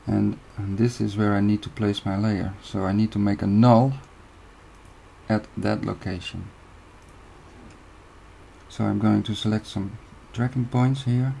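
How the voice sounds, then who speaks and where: Young Dutch-accented man, low gravelly voice, calm screen-recorded tutorial over faint hiss. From Netherlands. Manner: steady step-by-step instruction with short pauses. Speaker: video editing tutor.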